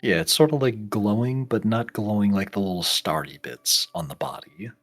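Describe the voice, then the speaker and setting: A game master with a deep, rough-edged voice narrates a tabletop fantasy game. The delivery is improvised and casual, with the thinking done out loud. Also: normal speed, soft background music.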